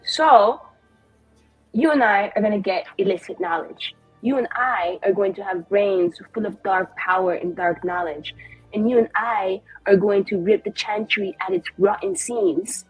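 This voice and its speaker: A woman's voice: medium pitch, unhurried, breathy edge, dry recording, muffled recording, faint music behind. A woman playing a confident, spiteful female elven mage in a tabletop game, brash and foul-mouthed in manner. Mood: spiteful, vehement.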